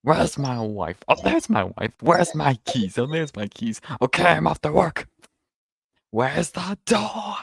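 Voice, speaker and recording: A man's voice: a little high, sing-song, unhurried, gravelly, slight background noise. A man chatting casually with dry joking asides as a co-commentator on a game stream.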